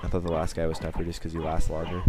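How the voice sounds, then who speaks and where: Young male let's-play host, bass-low voice, stuffy with a cold, rambling and wry. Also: monotone, rapid-fire, over loud music. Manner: rambling, self-mocking, chatty. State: sniffling from a cold. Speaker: gaming channel host. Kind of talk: let's play commentary over gameplay.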